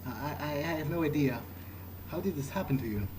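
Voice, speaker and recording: A man's voice: fairly high pitch, expressive, brisk, background noise, close to an everyday voice. A man role-playing a newly turned, puzzled vampire during a game playthrough, talking in a casual, questioning, hesitant way. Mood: curious, hesitant. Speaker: game player role-playing his character. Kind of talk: improvised in-character gameplay talk.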